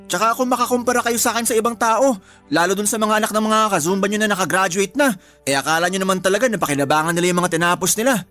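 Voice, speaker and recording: A man's voice: high-pitched, rapid-fire, fairly bright tone, soft background music. A man playing a sullen, stubborn twenty-year-old son who argues back in a radio drama. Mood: argumentative. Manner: whiny, argumentative.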